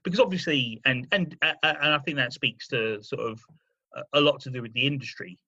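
A man hosting a comics podcast, asking questions with frequent restarts and soft laughs. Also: mid-range voice, fairly quick, slightly rough, dull audio. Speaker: podcast host. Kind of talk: casual interview.

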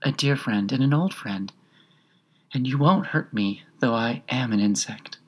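A narrator voicing a tiny, sad storybook gnat with a small, quiet, confiding voice. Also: mid-range voice, measured pace, husky. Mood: wistful, confiding. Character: tiny sad insect, a gentle pleading gnat.

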